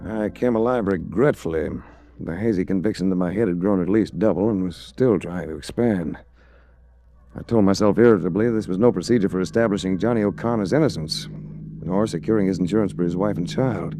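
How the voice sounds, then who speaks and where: An actor playing a suave, wry hard-boiled investigator in a 1940s radio drama, with a dry, urbane delivery. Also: male voice, sits low, fairly quick, natural voice. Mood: weary narration. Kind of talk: 1940s radio detective acting and narration. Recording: restored 1940s radio recording.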